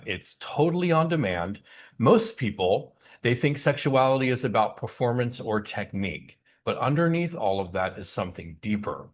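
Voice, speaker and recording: A man with a mid-pitched voice and very lively, swooping intonation leads a spiritual service. He sounds gentle and personable, inviting listeners along as he speaks. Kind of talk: guided spiritual service welcome and announcements.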